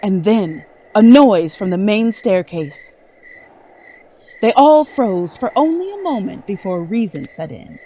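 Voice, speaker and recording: A woman's voice: mid-range voice, very animated, a little slow, warm tone, muffled recording. A woman reading scripted dramatic narration as the narrator of a story.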